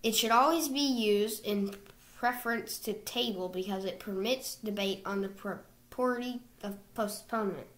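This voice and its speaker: A woman reading procedural rules from slides in a measured, scripted narration. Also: mid-range voice, a bit bright.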